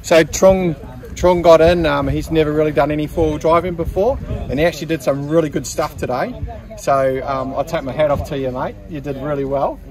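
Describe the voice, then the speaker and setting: A middle-aged Australian man casually narrating a four-wheel-drive club trip to his phone camera outdoors, relaxed with lots of ums and dry humour. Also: a little high, a little fast, recorded from a distance, crowd noise. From Western Australia. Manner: laid-back, many ums, dry humour. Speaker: club member filming a trip vlog. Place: outdoors at a rural campsite.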